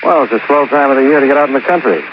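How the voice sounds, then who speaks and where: An actor playing a breezy, wry American insurance investigator in a 1950s radio drama, on a noisy old recording. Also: male voice, mid-range voice, very fast, dull audio, lightly acted.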